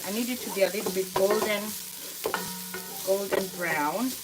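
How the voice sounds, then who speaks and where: A woman with a Kenyan accent giving a casual cooking demonstration to viewers, explaining step by step in a halting, unhurried way. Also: mid-range voice. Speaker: home cook hosting a cooking tutorial. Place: kitchen, indoors. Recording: noisy kitchen, sizzling frying sounds. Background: frying sizzle, stirring, clicks.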